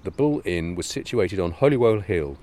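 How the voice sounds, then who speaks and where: Low-voiced male co-host, wry, teasing, conversational. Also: expressive, normal speed, mellow. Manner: wry and teasing, addresses listeners. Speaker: podcast co-host. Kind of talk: casual chat.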